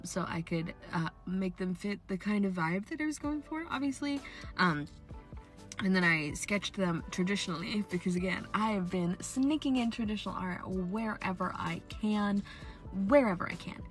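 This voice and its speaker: A young woman chatting casually as she hosts her art channel, rambling and laughing often, a little raspy from a cold. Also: medium pitch. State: coming down with a cold, a bit raspy. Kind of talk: casual chat over a speed paint.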